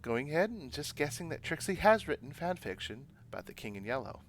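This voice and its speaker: A man's voice: on the high side, sing-song, fairly quick, a little hiss or hum. A man streaming a visual novel, reading it out casually with side comments and stumbling over words now and then. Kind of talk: casual let's-play read-aloud with side comments. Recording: music behind the voice.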